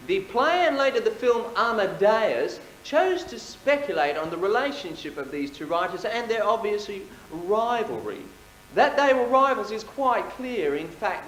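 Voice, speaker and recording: A man's voice: high voice, a little hiss or hum. A man narrating a theatrical prologue on stage in a formal, lecturing way, with hesitant fillers between sentences. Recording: roomy live stage recording.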